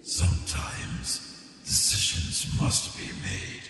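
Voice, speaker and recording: A man voicing an ancient alien hive being who speaks slowly, solemnly and in a whispery way. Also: a bass voice, expressive, pushed and bright, a little hiss or hum, exaggerated voice. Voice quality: gravelly, whispery. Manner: slow, solemn, grave. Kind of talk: fan dub of a sci-fi anime parody. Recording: echoey, reverberant with music behind.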